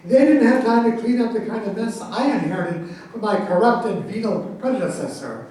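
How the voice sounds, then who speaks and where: An actor playing a boastful, pompous incumbent president who taunts debate rivals in a satirical staged reading. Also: male voice, sits high, animated, moderate pace, darkish tone. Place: live staged reading in an echoey room. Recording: echoey room, distant mic, faint noise.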